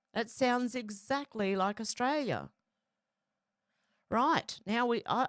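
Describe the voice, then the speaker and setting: A woman's voice: mid-range voice, no echo. A woman from Ireland talks through news posts, reading them out and then reacting in a loose, chatty way. Her voice is recorded dry and close, with almost no room sound. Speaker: news commentary host.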